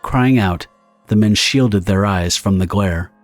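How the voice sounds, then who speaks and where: A male narrator delivers a scripted dramatic story in a low voice. Music plays clearly behind him. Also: takes it slowly.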